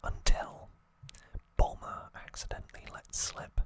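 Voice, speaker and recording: An ASMR host whispering an unscripted story recap in a hesitant, slightly wry way. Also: unhurried.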